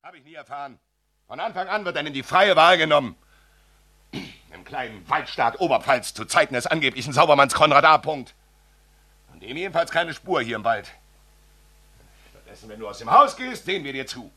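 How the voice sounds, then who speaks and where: An actor playing a tormented young writer taping an audio diary, agitated and halting, in a theatrical monologue with a husky voice. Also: male voice, fairly high pitch, expressive, pushed and bright. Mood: bitter, brooding. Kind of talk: dramatic monologue in an audio drama.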